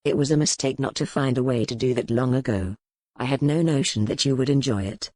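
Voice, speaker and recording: An actor playing a stern, brooding medieval duke in an audiobook, with a commanding, formal manner. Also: male voice, medium pitch, a little fast, a little mellow, no echo, natural voice. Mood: grim, reflective. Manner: commanding, formal period speech. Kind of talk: dramatic audiobook character acting.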